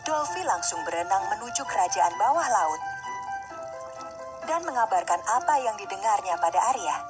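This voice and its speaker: A woman's voice: medium pitch, expressive, normal speed. A woman narrating a scripted fairy tale for children. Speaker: storyteller, narrator. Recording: music under the voice. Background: music.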